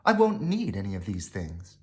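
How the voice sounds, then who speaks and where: A man voicing an eager, adventurous young princess in a bedtime story, with an expressive, earnest delivery. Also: deep voice, normal speed. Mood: protesting.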